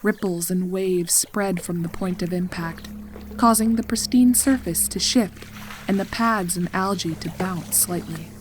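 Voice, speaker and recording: A woman narrating a horror story in a deliberate, suspenseful, dramatic style. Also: lowish voice, a little hiss or hum. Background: background music.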